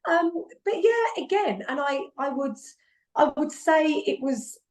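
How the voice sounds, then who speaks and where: A woman in her forties with an English accent, chatting as a podcast guest, lively, laughing often and repeating herself. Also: high-pitched, unhurried. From England. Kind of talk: casual conversation. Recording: echoey room.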